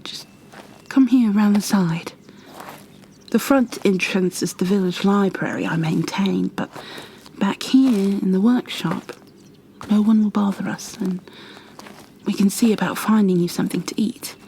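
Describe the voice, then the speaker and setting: A woman playing a kind, flustered young bookkeeper in a fantasy ASMR roleplay, speaking softly and breathily, often near a whisper, with gentle hesitations and apologies. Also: mid-range voice, measured pace, slight background noise, natural voice. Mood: worried, caring. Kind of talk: ASMR roleplay, addressed to the listener.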